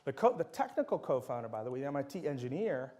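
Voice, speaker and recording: A man's voice: mid-range voice, sing-song, fast talker, mellow. A middle-aged man, a native Dutch speaker, giving an enthusiastic university lecture full of anecdotes. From Netherlands.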